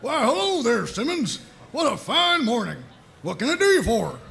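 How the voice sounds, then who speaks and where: A man playing a gruff, folksy older military sergeant, blunt and callous, in a comedy table read of an animated series. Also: very high-pitched, moderate pace, full character voice. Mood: cheerful.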